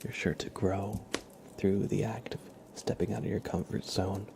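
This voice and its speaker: A man speaking softly and gently in a casual ASMR livestream style, often drifting into a whisper. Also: low voice, fairly even intonation, normal speed, vocal fry, background noise. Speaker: ASMR live streamer, host. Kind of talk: soft-spoken ASMR livestream, casual chat and tarot reading.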